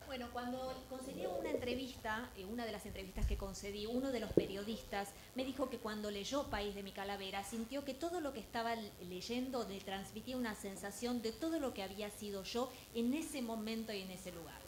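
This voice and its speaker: A woman's voice: fairly high pitch, fairly quick, hardly any pauses, noisy background. Woman interpreter in an echoey hall. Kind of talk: consecutive interpreting.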